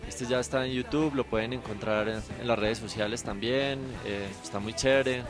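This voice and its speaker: A man, speaking in a band interview and pausing with frequent fillers. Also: mid-range voice, fairly even intonation, music in the background. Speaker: band member. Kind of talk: casual interview answers.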